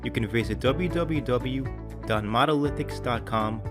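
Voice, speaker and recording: A man presenting a scripted software tutorial, explaining in a clear, orderly way. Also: medium pitch, unhurried, music in the background. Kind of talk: scripted instructional narration.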